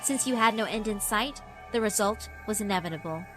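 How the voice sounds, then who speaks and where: A woman's voice: mid-range voice, a little fast, over music, natural voice. A woman voicing a sinister, cruelly amused female school spirit in a horror visual novel, theatrical and mock-polite. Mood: gleeful, taunting. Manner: theatrical, mock-polite, taunting rhetorical questions.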